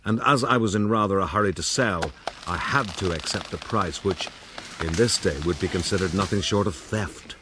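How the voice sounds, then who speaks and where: A man playing a scheming, self-pitying gentleman rogue who narrates his own life in a formal, self-justifying way for a radio drama. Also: deep voice, moderate pace, background noise, natural voice. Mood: smug, measured.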